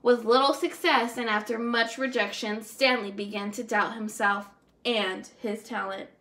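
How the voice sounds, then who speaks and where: A woman's voice: a little high, steady tone, a little slow, fairly bright tone. A young woman reading a storybook aloud to first graders as a teacher, expressively and with the odd stumble over words. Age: young adult. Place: indoors, small room at home.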